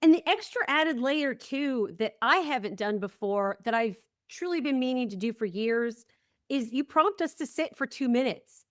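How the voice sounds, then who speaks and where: Female podcast host, slightly high voice, dry close sound, sincere and reflective. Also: lively intonation, normal speed, no echo. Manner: earnest and reflective, lots of warm affirmations. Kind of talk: conversational podcast interview.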